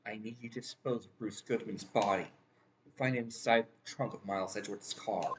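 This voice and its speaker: A game streamer reading the part of a guarded, dutiful woman confessing, in a formal, halting delivery. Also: medium pitch, slight background noise, natural voice. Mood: grave, resigned. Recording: loud game music behind the voice.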